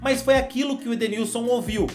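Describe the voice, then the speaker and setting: A man's voice: very high-pitched, steady tone, fast, soft background music. A man with a Brazilian accent hosting a football channel, giving his opinion in a casual, conversational way and addressing the viewer with slang.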